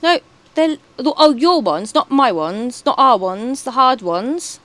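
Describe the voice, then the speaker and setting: A British woman with a high voice, streaming and chatting casually while gaming, rambling and swearing now and then. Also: lively intonation, slow, a bit bright. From Britain.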